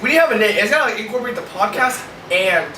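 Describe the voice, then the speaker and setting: A man's voice: sits high, animated, rapid-fire. A sixteen-year-old boy co-hosting a podcast in casual, joking chat. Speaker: podcast co-host. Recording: echoey, far mic, loud noise.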